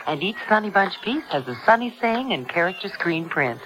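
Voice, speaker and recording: A woman's voice: on the low side, sing-song, moderate pace. A woman reading a scripted 1970s radio advertisement. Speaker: commercial announcer. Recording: noisy old radio broadcast.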